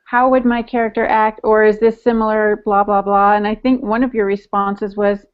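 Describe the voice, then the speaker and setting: A woman's voice: mid-range voice, little pitch movement, moderate pace, clean tone. A middle-aged woman co-hosting a podcast in casual, teasing chat over a video call from home. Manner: playful, teasing. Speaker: podcast co-host. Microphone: earbud headset. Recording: dull, lo-fi video-call audio.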